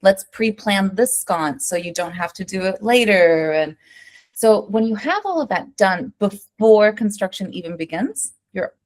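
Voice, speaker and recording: An American woman working as an interior designer, answering interview questions in a lively, conversational way and often checking in with "right?". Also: mid-range voice, a little slow. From United States. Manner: animated, keeps asking "right?", tells stories.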